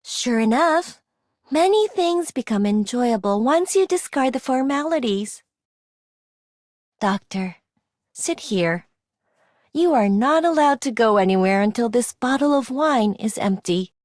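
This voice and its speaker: Posh-mannered playful noblewoman for a game, high pitch, dry close sound. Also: lively intonation, measured pace, darkish tone, no echo. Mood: playful, poised. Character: elegant, playful refined lady who enjoys wine. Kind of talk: game character acting.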